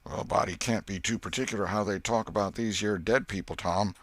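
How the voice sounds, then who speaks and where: A man voicing a superstitious, fearful young country boy with a hushed, shivery, folksy rustic dialect in a storybook. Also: lowish voice, steady tone, fairly quick, no room sound, voice pushed up. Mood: solemn, fearful.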